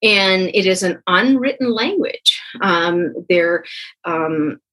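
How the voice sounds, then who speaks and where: Woman, first language pennsylvania dutch, husky low voice, lots of ums and restarts. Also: lively intonation, takes it slowly, fairly bright tone, rough recording. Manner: hesitant, many ums and restarts. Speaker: guest, co-author. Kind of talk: interview answers.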